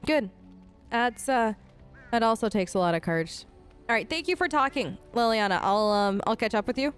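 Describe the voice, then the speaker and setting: A woman's voice: fairly high pitch, animated, moderate pace, clear, resonant tone. A woman improvising in-character replies for a role-play let's play, chatty, casual and a little hesitant. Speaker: gaming host, role-playing as player character. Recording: game music under voice. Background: game music.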